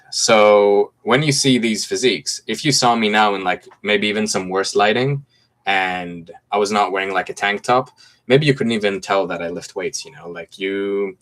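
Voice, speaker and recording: A man's voice: low voice, a bit bright. A Greek man in his twenties with a slight American accent, chatting casually on a livestream from his home and reading viewer comments aloud in a laid-back way. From Greece. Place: room at home with mint green walls.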